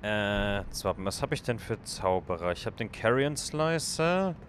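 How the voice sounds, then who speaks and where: Lower-voiced man chatting while gaming. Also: sing-song, measured pace, slight background noise. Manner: thinking aloud, chatting with stream viewers. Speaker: let's play gamer. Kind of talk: casual gameplay commentary.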